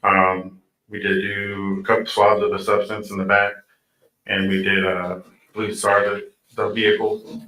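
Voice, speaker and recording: A man's voice: low voice, completely flat delivery, a little slow, bright, forceful tone, a little hiss or hum. A man, a police detective, giving formal, clipped and somewhat hesitant testimony as a courtroom witness. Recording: echoey distant courtroom playback.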